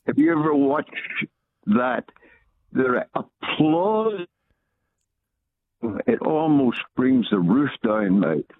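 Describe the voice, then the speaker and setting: A man's voice: mid-range voice, very animated, takes it slowly, pauses often. A man with a Northern Irish accent calling in to a radio show, chatting easily and telling a story. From Northern Ireland. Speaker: caller. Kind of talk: casual phone-in chat. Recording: tinny phone line.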